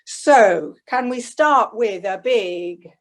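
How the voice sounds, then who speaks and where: A woman's voice: on the high side, expressive, drawn-out words, very noisy. A woman hosting a radio play, addressing the audience in a formal, courteous scripted style. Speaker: host of a live radio play.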